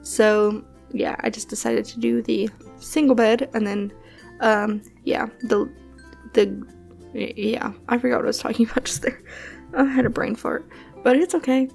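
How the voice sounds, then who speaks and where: Young female vlogger, sniffly, rambling chatty voice-over, fry-heavy, soft music bed. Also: on the high side, fairly even intonation, normal speed. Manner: rambling, chatty, lots of fillers and soft laughs. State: sniffly from allergies. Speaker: gaming channel host. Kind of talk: casual voice-over commentary on a building game.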